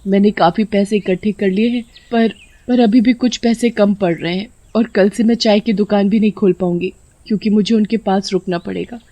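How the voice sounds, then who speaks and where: Woman playing a timid, mellow village tea seller. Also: medium pitch, fairly even intonation, normal speed, dry recording, close to an everyday voice. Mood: worried. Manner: hesitant, pleading. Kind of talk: animated story dialogue.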